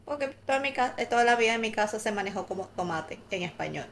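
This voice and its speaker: A woman co-hosting a podcast, telling personal stories candidly in a relaxed chatty way. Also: mid-range voice, fast talker, slight background noise. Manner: candid storytelling with light laughter. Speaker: podcast co-host. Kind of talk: casual conversational podcast chat.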